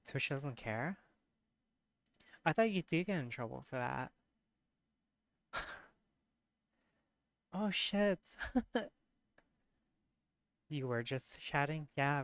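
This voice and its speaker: A live streamer with a tired, hoarse voice, chatting casually with viewers, giggling often and correcting themself mid-sentence. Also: medium pitch, dry recording. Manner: giggly, laughs often between remarks; rambling, self-correcting. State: voice worn and hoarse from long streaming.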